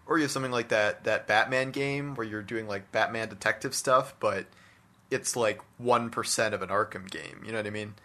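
A man with a fairly low, very bright and forward voice, talking off the cuff as a podcast co-host. He gives his opinions in a casual, conversational way, often padding phrases with small filler words. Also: fairly quick. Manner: conversational, hedging with "like" and "you know". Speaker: podcast co-host discussing video games.

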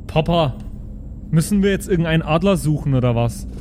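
Man as a naive, childlike, higher-voiced sidekick. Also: animated, fairly quick, darkish tone, a little hiss or hum, close to an everyday voice. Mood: naively curious. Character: naive, childlike sidekick who clings to his papa.

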